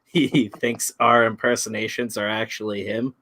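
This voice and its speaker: A man's voice: fairly low pitch, flat delivery, normal speed, a crowd in the background. A man chatting casually while drawing, cracking crude jokes and laughing often. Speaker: artist, podcast regular. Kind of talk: casual chat.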